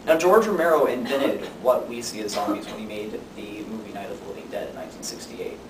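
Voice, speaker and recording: Young guy doing a class presentation, kinda deadpan, far from the mic w/ clear background rumble. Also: fast. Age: young adult. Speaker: student speaker. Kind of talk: informative class speech. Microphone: distant camera microphone. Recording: distant mic, echoey room, noisy rumble.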